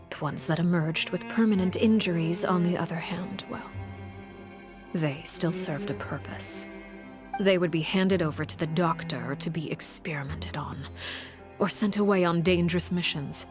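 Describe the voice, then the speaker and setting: A woman voicing a cold, commanding middle-aged leader who tells a grim story in a measured, solemn way, often dropping to a hushed, breathy tone, in a studio game recording. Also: fairly low pitch, close to an everyday voice. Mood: grave. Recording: game audio with faint music behind.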